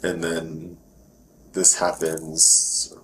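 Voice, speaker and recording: Male detective, low-pitched, reassuring and informal interviewer, faint noise on bodycam audio. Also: monotone, drawn-out words, fairly bright tone. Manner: low-key, reassuring, casual phrasing. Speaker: police detective. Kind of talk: informal questioning in a police interview. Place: police questioning, recorded on bodycam. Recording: noisy police bodycam audio.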